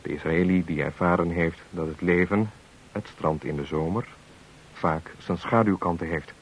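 A man with a low voice reads a formal radio play introduction. His delivery is measured and solemn. A faint hiss sits on the old recording. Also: steady tone. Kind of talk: formal scripted narration.

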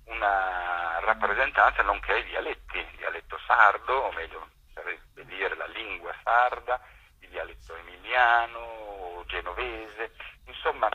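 A man explaining a project as an interview guest over a telephone line, pausing to search for words. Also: fairly low pitch, pushed and bright, slight background noise. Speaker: theatre maker interviewed as guest. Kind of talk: radio interview, explanatory talk. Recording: tinny, rough telephone line.

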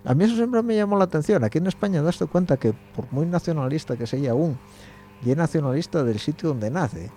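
A man's voice: a little high, lively intonation, brisk, warm tone, soft background music. A young man, hosting a casual radio chat, rambling, laughing often and trailing off mid-sentence. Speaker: radio show host.

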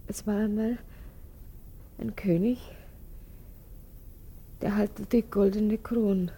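A woman's voice: fairly even intonation, takes it slowly, mellow. An actress in a German radio play voicing an anguished, guilt-ridden young mother, pleading and often dropping to a whisper. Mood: troubled, murmuring. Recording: noisy recording with low rumble.

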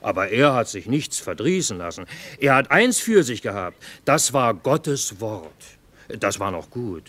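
An actor playing a cynical army cook with a dry, ironic, measured delivery in a radio play. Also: male voice, medium pitch, big pitch swings, a bit bright. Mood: dry irony. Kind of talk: theatrical radio drama acting.

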